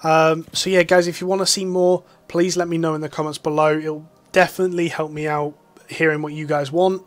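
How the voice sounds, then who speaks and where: A man's voice: a little high, little pitch movement, a little fast, dry recording. A young man giving rambling, enthusiastic casual commentary while playing a game. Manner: rambling, filler-heavy, enthusiastic. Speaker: gaming YouTuber.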